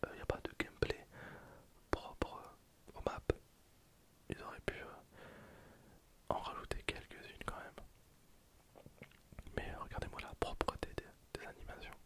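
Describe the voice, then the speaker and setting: A person whispering casual, slangy ASMR commentary over a video game, in breathy, hesitant phrases that trail off. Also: unhurried, pauses often, a bit bright. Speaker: host of an ASMR gameplay channel.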